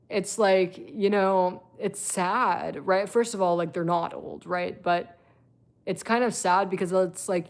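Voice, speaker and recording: A woman's voice: on the low side, gravelly, darkish tone. A woman in her twenties giving a blunt, chatty live-stream monologue, full of fillers and swearing. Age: 20s.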